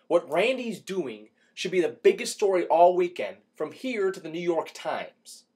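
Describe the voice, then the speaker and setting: A man giving a dramatic, emphatic reading of an opinion column, shifting between hushed lines and punchy rhetorical questions. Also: medium pitch, husky. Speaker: radio show host reading an opinion column.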